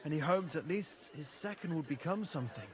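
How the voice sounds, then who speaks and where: Earnest young nobleman played by an actor, fairly high. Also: animated, fairly quick, lightly acted. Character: dutiful proud young nobleman, loyal younger son. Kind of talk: dramatic game dialogue in a medieval setting.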